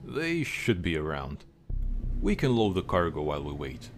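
A man voicing a swaggering, smooth-talking sinister cowboy spaceman in a comic dub, suave and drawling. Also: low-pitched, very animated, normal speed, a little hiss or hum.

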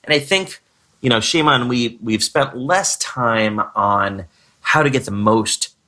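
A man hosting a podcast on recruiting, energetic in his intro and loose and chatty when asking questions. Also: mid-range voice, slow. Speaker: podcast host. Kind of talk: podcast interview.

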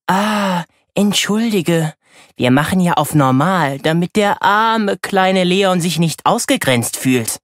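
A male reader plays a nagging teenage superhero sister in a children's audiobook. The voice sits high and close, with a snide, sarcastic edge. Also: lively intonation, slow, a bit bright, no echo, full character voice. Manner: snide, hissing.

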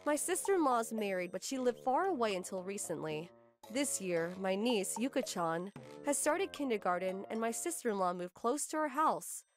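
A woman's voice: medium pitch, big pitch swings, normal speed, soft background music, close to an everyday voice. A woman voicing a put-upon young housewife, firm and exasperated, narrating her own story in a manga dub. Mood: weary explaining. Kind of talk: scripted first-person manga dub narration.

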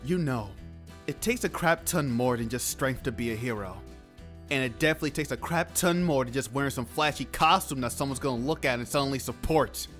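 A man voices an earnest, bookish teenage boy for a cartoon dub. His medium-pitched voice is wordy and preachy, with faint music behind it. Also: brisk, fairly bright tone, lightly acted. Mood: indignant. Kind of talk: comic dub cartoon acting.

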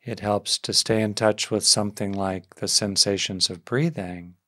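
A man leading a guided meditation as a teacher, speaking gently and softly in short phrases with long pauses. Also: lowish voice, fairly even intonation, no room sound, clean studio sound.

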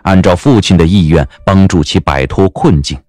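A man narrating a scripted audio drama as an expressive storyteller. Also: low voice, moderate pace, dry recording, faint music behind.

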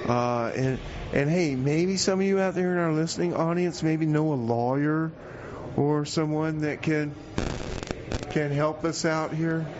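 A man's voice: fairly high pitch, a little slow, lightly acted. A man playing an anxious, eager radio DJ in a comedy radio skit, rambling hesitantly and repeating words. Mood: sheepish, rambling. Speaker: radio show host. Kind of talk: improvised comedy radio theater and music DJ chat. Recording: dull lo-fi sound, loud background noise.

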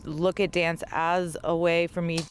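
A man's voice: a little high, little pitch movement, unhurried, clean tone. A man speaking in a short demo audio clip.

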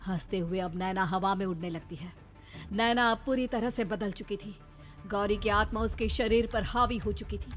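A woman's voice: mid-range voice, fairly even intonation, moderate pace, soft background music. A woman narrating a scripted horror story.

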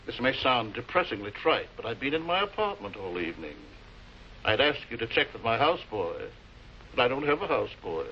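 A man's voice: medium pitch, sing-song, a little fast, close to an everyday voice. A man playing a suave, witty, unruffled murder suspect in a 1940s television crime drama, with arch, dry sarcasm. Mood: dry, mocking. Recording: muffled, noisy old film soundtrack.

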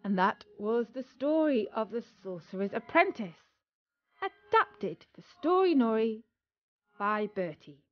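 A woman tells a children's story slowly and expressively, with deliberate pauses. Her voice is a little high, and the recording is slightly dull with music. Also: sing-song, no echo. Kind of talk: scripted children's story narration.

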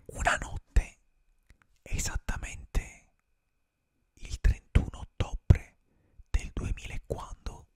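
A young man whispering a bedtime fairy tale for ASMR, close to a studio microphone in a small room. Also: no echo. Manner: slow unvoiced whisper, drawn-out pauses. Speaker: ASMR streamer. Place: small dim room with colored string lights. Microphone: close studio mic, earphones.

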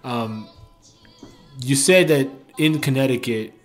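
Guy hosting a podcast, medium pitch, slangy, feels his way through questions, light music behind. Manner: slangy, affirming, halting questions. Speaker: podcast host interviewing. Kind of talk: casual interview. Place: small home office. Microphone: desk microphone on a stand.

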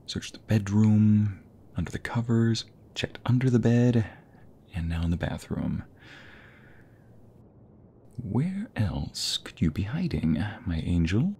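A man playing a playful, doting young husband in an ASMR roleplay, with a breathy voice that often slips toward a whisper and a teasing, affectionate delivery. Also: lowish voice, lively intonation, dark tone, slight background noise. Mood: puzzled, searching. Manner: teasing, affectionate, drawn-out delivery with pet names.

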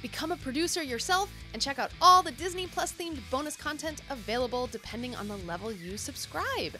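A woman's voice: high-pitched, lively intonation, fairly quick. A woman host reading a scripted promotional message as a voice-over. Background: loud background music.

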